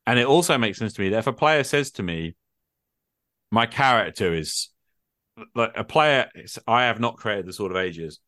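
A middle-aged man with a low voice talks through his ideas in a casual, explanatory way. He often checks in with little tag questions, and the sound is dry and close. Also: expressive, moderate pace, pushed and bright, dry recording. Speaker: podcast host and game master. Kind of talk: extended casual discussion of tabletop gaming.

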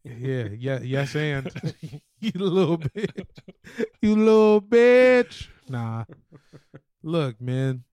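American dude on a podcast, highish voice, slangy jokey sweary banter, dry sound. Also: male voice, big pitch swings, very slow, pauses often, darkish tone, smooth, no room sound. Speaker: podcast co-host. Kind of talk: casual comedic banter.

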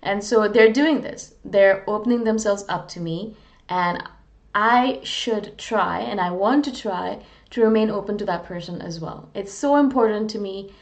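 A woman's voice: medium pitch, measured pace. A woman from India, talking in a candid, earnest, self-deprecating way in a casual, reflective monologue as a vlogger. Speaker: vlogger on personality types.

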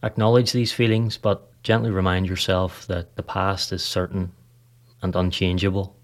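A man with a notably low voice narrates a guided meditation. He delivers the script calmly and evenly paced in short phrases, with unhurried pauses between them. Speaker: podcast host, meditation guide.

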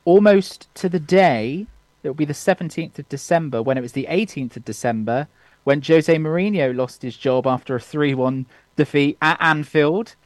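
A man's voice: fairly high pitch, moderate pace, no echo. A man hosting a casual football podcast, teasing his co-hosts and laughing often. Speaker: podcast host. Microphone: remote call.

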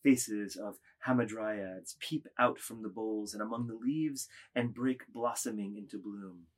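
A man's voice: on the low side, a little slow. Man narrating stage directions. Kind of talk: scripted literary narration.

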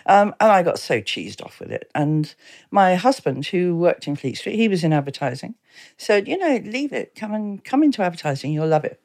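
A woman in her seventies from Exeter with an English accent, reminiscing casually and anecdotally as a podcast guest, quick to chuckle. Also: lowish voice, very animated, a little mellow. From Exeter, England. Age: 70s. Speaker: town mayor, podcast guest.

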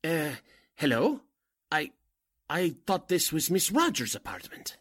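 A sinister cult leader from an old-style radio drama, played by a man. He speaks slowly and formally, with theatrical swings of pitch. The voice is slightly rough rather than clean. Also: huge pitch swings, a bit bright, full character voice. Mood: urgent, pleading. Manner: formal, theatrical, commanding or pleading. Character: sinister, crooked male cult leader and fake medium. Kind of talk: 1940s radio drama acting.

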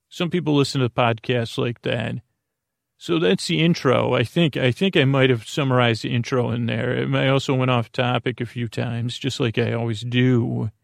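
A man hosting a bedtime sleep podcast in a creaky, lulling voice, meandering through goofy tangents and halting, stammered self-corrections. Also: medium pitch, dry recording, clean studio sound. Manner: soothing, lulling; goofy, whimsical. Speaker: podcast host.

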